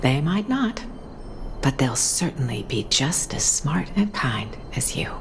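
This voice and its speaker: Low-voiced, gentle priestess mother. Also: big pitch swings, measured pace, gravelly, full character voice. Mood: tender. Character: gentle, reassuring mother and priestess, adult woman. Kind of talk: video game character acting. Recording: loud background music under the voice.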